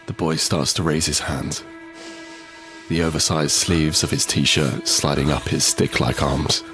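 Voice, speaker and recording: A man playing a frightened, desperate father in an apocalyptic audio drama, speaking with halting, intense urgency. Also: very deep voice. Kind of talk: first-person scripted audio drama narration with acted dialogue.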